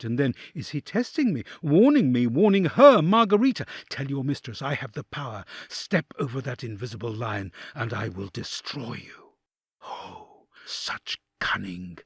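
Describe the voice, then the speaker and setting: A man's voice: medium pitch, husky, no room sound, clean studio sound. A man playing a troubled, fearful and sympathetic envoy in an audio drama, delivering an intense, reflective interior monologue in theatrical style. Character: troubled male envoy, sympathetic, fearful, inwardly agonised listener.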